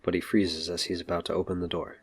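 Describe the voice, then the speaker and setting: A man's voice: low-pitched, flat delivery, fast, breathy edge. A man narrating a scripted superhero audio drama. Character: narrator of a superhero adventure story.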